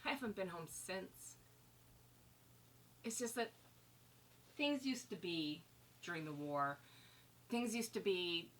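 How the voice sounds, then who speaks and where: A woman's voice: lowish voice, fairly bright tone, a little hiss or hum, full character voice. A woman acting a wistful war bride in a dramatic stage monologue, reflective and confiding as she remembers wartime. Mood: wistful, confessional.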